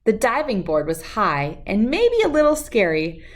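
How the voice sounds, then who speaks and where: A woman speaking as a children's read-aloud host, expressive and storybook-like, with drawn-out emphasis. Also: mid-range voice. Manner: slow, animated delivery with drawn-out emphasis for young listeners.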